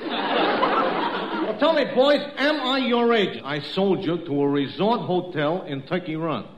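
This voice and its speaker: Slick radio-comedy agent, echoey vintage broadcast. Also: male voice, sits high, lively intonation, a little slow, highs cut off, a crowd in the background. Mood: breezy selling. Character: slick, evasive talent agent for a comedy duo. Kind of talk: 1950s radio comedy acting. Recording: echoey old radio, noisy, live audience.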